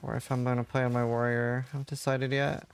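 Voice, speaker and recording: Gravelly man streaming a game. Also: mid-range voice, fast talker. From Norway. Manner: relaxed, thinks aloud, answers chat questions. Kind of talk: casual livestream chat while playing.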